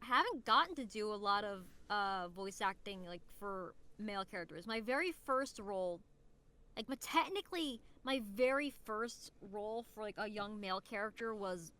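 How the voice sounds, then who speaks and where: A woman's voice: medium pitch, animated, normal speed, pushed and bright, clean tone. A young woman in casual podcast chat, enthusiastic and rambling, peppering her sentences with "like". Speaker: voice actor, podcast guest.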